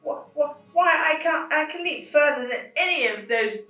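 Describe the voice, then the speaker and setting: A woman's voice: sits high, expressive, moderate pace, sharp tone, echoey room, distant mic, soft background music, voice pushed up. A woman voicing a small stranded female bunny who pleads and stammers. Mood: pleading.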